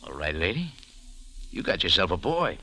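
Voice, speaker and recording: A man's voice: low voice, sing-song, normal speed, pushed and bright, slight background noise, heavily acted. A man acting in a 1940s radio drama as a tough, wry American cafe owner turned sleuth, with an American accent and clipped hardboiled delivery. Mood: probing, cool. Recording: vintage radio broadcast with music.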